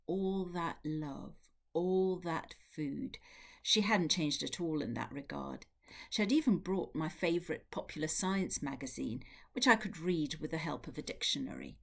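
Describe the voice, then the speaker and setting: A woman reading a first-person novel aloud in a measured, expressive storytelling manner. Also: low voice, creaky. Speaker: literary translator reading from a novel. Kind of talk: audiobook-style narrated prose reading.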